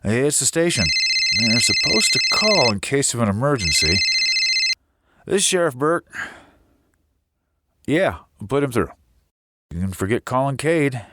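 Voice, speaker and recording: A man playing a gruff, guarded sheriff in an audio drama, blunt and commanding. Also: medium pitch, big pitch swings, unhurried, long pauses, very noisy. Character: gruff, guarded small-town sheriff taking charge.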